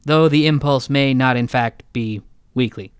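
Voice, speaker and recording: A man speaking as an interview guest, an audio drama creator with deadpan joking. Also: mid-range voice, a little slow, smooth, dry recording, clean studio sound. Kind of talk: interview conversation.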